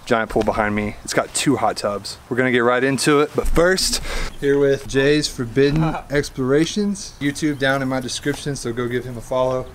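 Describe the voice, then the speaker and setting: A young man in his twenties from Oklahoma, casually narrating as he explores an empty house, excited and slangy, laughing often. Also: mid-range voice, normal speed, a little hiss or hum. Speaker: urban explorer vlogger. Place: large empty unfinished house, echoing rooms. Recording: very echoey empty rooms.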